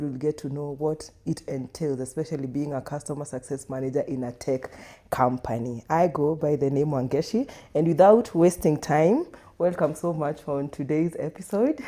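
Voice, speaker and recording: A woman from Kenya hosting a podcast, playful and encouraging, with steady "mm-hmm" replies. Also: deep voice. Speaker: podcast host. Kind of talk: casual interview hosting.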